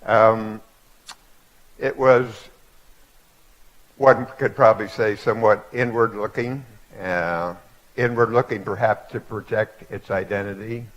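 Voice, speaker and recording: Slow, halting elderly man lecturing. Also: lowish voice, steady tone, highs cut off. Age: 80s. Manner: halting, reflective storytelling with many fillers. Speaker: guest speaker. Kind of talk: public lecture. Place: auditorium before a live audience.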